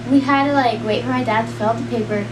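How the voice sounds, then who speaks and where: A young woman telling a personal story in a rambling, casual way, full of "like" and quick laughs. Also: on the high side, steady tone, a loud crowd. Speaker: storyteller guest. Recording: roomy, distant, noisy.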